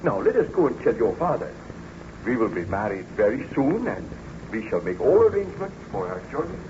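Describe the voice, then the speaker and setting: An actor playing an anguished yet ardent baron scientist in old-time radio drama, formal and melodramatic, on an old radio recording. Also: male voice, mid-range voice, sing-song, a little fast, dull audio, background noise, lightly acted. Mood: ardent, earnest.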